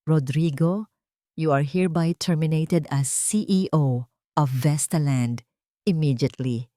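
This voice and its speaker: A woman with a Filipino accent playing a wronged wife turned cold corporate queen in an audio drama, speaking firmly and deliberately. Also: sits low, warm tone, dry recording, studio quality. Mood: cold, final. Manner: firm, authoritative, deliberate.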